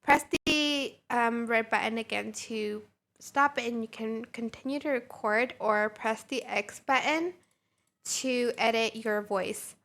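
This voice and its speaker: High-voiced woman hosting a casual tutorial. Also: takes it slowly, creaky, a bit bright. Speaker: tutorial host. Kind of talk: casual software tutorial narration.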